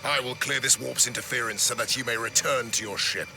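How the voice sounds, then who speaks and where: A man playing a stern, imperious grandmaster of a sci-fi knightly order in a video game, speaking in solemn, archaic phrases with a gravelly voice. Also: medium pitch, fairly quick, noisy background, lightly acted. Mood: commanding. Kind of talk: dramatic video game voice acting. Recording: loud music under the voice.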